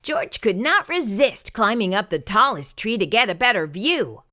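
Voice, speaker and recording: A woman hosting a children's storytime, reading a picture book aloud in an expressive, sing-song way. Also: mid-range voice, normal speed.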